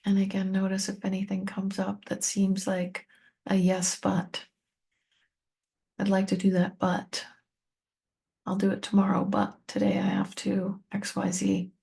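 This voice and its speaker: Husky, creaky woman guiding a meditation. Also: medium pitch, monotone. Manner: gentle, unhurried instruction in short phrases with pauses. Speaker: meditation teacher and tapping coach. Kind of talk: guided meditation, addressing the listener.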